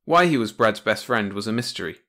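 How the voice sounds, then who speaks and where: A man's voice: fairly low pitch, expressive, fairly quick, bright, forceful tone. A man reading a comic pulp science-fiction short story as scripted audiobook narration.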